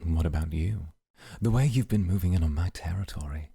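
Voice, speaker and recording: A man playing a composed, calculating, coolly amused mafia boss, speaking in a measured, formal way in an ASMR roleplay. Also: deep voice, vocal fry, mellow, husky, no echo, studio quality, heavily acted. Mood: dryly amused.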